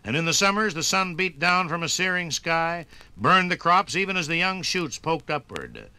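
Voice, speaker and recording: An American man giving a scripted 1950s radio documentary narration with dramatic, measured phrasing. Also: a little high, very animated, sharp tone, clean tone, rough recording.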